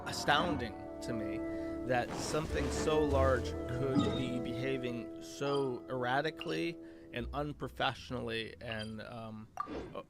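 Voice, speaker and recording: A man delivers an off-the-cuff monologue as a show host. His pitch sits in the middle range and his phrasing comes in halting starts and stops. Music plays loudly behind him. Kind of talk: casual monologue commentary.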